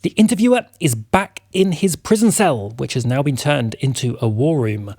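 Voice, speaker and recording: A man's voice: medium pitch, a little mellow, no room sound, clean studio sound. A man hosting a show, giving announcements and a recap to introduce the episode.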